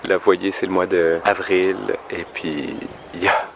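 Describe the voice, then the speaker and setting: Low, gravelly, rambling Canadian man vlogging in French accent. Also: little pitch movement, a bit bright, slight background noise. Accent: French, deliberately European French with some Québécois turns. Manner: mock-earnest, rambling and halting, drifting into asides. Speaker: singer and vocal coach presenting a video. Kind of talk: casual, humorous vlog tutorial.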